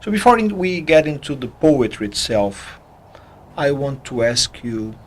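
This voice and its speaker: Mid-pitched man hosting an intimate interview. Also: sing-song, measured pace. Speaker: interview host.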